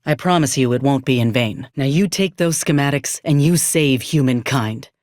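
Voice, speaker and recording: An actress voicing a frank, loyal frontier soldier in a video game. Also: female voice, rumbling low, normal speed, a little mellow, dry recording, clean studio sound. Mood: solemn, heartfelt. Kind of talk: scripted video game character dialogue.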